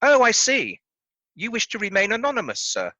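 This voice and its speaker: A man playing a polite, earnest gentleman charity collector in a radio play, speaking formally and courteously. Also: high voice, wildly swooping pitch, moderate pace, dry recording, heavily acted. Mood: polite, pleading.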